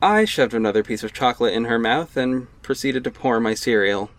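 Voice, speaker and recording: A man's voice: medium pitch, fairly quick. A young man narrating his own audio drama in the first person, wry and casual. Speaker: narrator and lead actor. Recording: music bed underneath.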